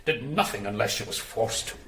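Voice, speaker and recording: A man's voice: on the low side, animated, brisk, creaky, sharp tone, reverberant, exaggerated voice. An actor playing a stiff, defensive middle-aged adoptive father in a radio drama, speaking in a prim, disapproving way.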